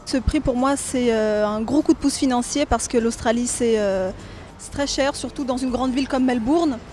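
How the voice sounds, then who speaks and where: High-voiced young woman, hesitant. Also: normal speed, darkish tone, a little hiss or hum. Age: 20s. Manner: hesitant, with many fillers. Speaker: student. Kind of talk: interview answers.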